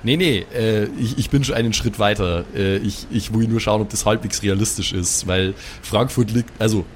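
Rambling low-voiced man at the game table. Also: normal speed, a little hiss or hum. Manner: rambling, hesitant, full of restarts. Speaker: player. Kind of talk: casual chat in a tabletop game.

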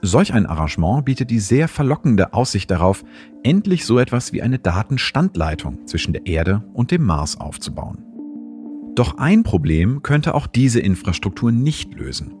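A man's voice: medium pitch, sing-song, normal speed, darkish tone, faint music behind. A man hosting and reading scripted science narration in a documentary style.

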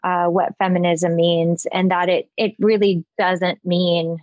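A woman's voice: lowish voice, level tone, dry recording. Earnest woman on a dull-sounding mic. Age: middle-aged. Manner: earnest, halting as she forms thoughts. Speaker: podcast guest. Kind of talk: reflective casual discussion.

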